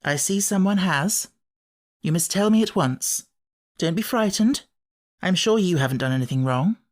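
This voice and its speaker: A man's voice: sits high, lively intonation, moderate pace, voice pushed up. A man voicing a genteel mother in an audiobook, proper and kindly but firm and anxious. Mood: gently firm. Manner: proper, questioning, motherly authority. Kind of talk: audiobook character acting.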